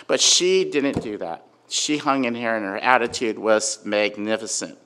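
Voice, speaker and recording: A man giving an informal sermon with a personal story, talking conversationally and loudly, with many fillers and frequent "right?" check-ins. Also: fairly low pitch, normal speed. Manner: conversational, filler-heavy, asks "right?" often; says he has a really loud voice. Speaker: preacher.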